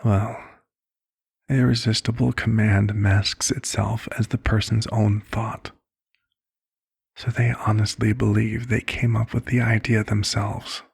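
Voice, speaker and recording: Man playing a low, husky, hushed vampire doctor. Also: flat delivery, no echo, studio quality, heavily acted. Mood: measured, earnest. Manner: measured, hushed, reflective storytelling. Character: calm, caring male vampire doctor recounting a past case. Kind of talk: ASMR roleplay monologue.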